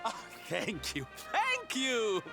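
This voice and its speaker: A man voicing a flamboyant, camp male entertainer in a video game, gushing in a drawn-out, theatrical way. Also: extremely high pitch, huge pitch swings, gravelly, music in the background, full character voice.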